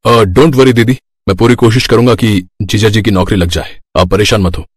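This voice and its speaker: A man's voice: on the low side, steady tone, normal speed, slightly rough, dry recording, studio quality. A man voicing a helpful, protective younger brother, speaking earnestly in a moral story. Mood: reassuring. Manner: earnest, reassuring. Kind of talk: Hindi moral story voice acting.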